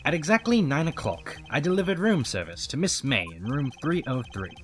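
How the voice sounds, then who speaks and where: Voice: mid-range voice, big pitch swings, fairly quick, fairly bright tone, soft background music. An actor voicing a nervous, deferential male hotel bellboy in a game fan dub, stammering and prim. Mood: formal.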